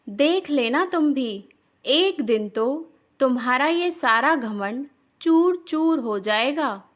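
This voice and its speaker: A woman voicing a reproachful, sharp-tongued older mother-in-law who scolds and taunts in a cartoon moral story. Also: high voice, expressive, slow. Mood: taunting.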